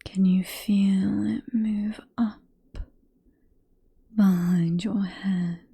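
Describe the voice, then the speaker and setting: A woman with a British accent playing a possessive, seductive vampire professor in a soft, breathy whispered ASMR roleplay, coaxing and teasing with condescending affection. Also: mid-range voice, fairly even intonation, drawn-out words, exaggerated voice.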